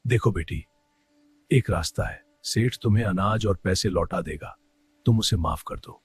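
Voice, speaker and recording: A man playing a village council headman in a moral story, measured and persuasive. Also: fairly low pitch, husky. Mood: placating. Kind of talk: dramatized moral story dialogue.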